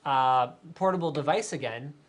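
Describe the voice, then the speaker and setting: A talkative man hosting a live tech stream, reading out viewer questions and riffing on them. He speaks casually with plenty of fillers and restarts, energetic and opinionated. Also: medium pitch, moderate pace. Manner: energetic, chatty, many fillers. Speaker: tech show host.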